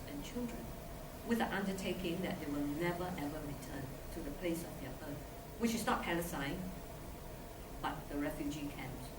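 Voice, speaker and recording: An older woman from Singapore, a surgeon, giving an earnest, conversational talk to students, restarting her sentences as she goes. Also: sits low. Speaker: guest speaker, surgeon. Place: lecture hall. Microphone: distant from the mic. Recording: echoey, distant hall recording with loud hiss.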